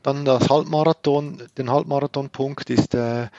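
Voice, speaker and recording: A man's voice: medium pitch, steady tone, normal speed, mellow, dry recording, dull audio. A man with a Swiss German accent, a podcast guest talking casually with many fillers and restarts. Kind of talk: casual conversation.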